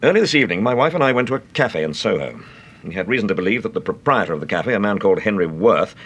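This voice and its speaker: Radio drama lead: urbane, calm English detective, questioning swiftly with animated inflection. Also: male voice, medium pitch. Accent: British. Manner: urbane, measured questioning, understated. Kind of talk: radio mystery drama acting.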